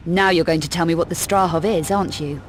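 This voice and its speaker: A woman's voice: fairly low pitch, fairly even intonation, quick pace, slight background noise, lightly acted. A woman with a British accent playing a tough, sardonic female adventurer in a video game, speaking in a clipped, dry, demanding way. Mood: cool, probing. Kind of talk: video game cutscene acting.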